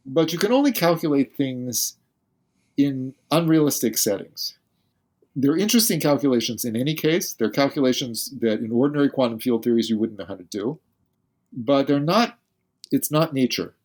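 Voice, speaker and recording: A man's voice: mid-range voice, lively intonation, moderate pace, a little mellow. A male physicist, a guest on a podcast interview, who explains carefully and thinks aloud as he speaks.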